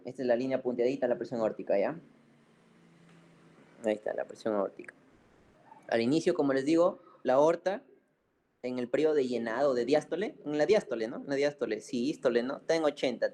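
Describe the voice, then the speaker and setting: A man teaches a medical class in a mellow, relaxed voice. He explains informally and colloquially, repeats key points and keeps adding little check-in tags for his students. His pitch sits in a middle male range. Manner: didactic, colloquial, with frequent check-in tags and repetition.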